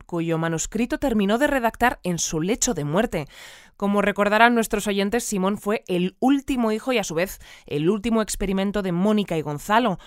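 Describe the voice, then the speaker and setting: An actress playing a formal, measured, unflappable radio newsreader in an audio drama. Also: female voice, mid-range voice, clean tone, no echo, natural voice. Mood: solemn, informative. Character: composed female radio newsreader of a sci-fi community. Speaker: news anchor. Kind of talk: scripted news bulletin, fiction podcast. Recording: dry studio with music bed.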